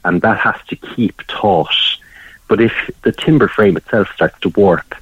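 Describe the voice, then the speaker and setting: A low-voiced man explains art in a measured, descriptive way for a radio interview. His husky voice comes over a dull, roomy remote feed. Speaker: art gallery guest describing a painting.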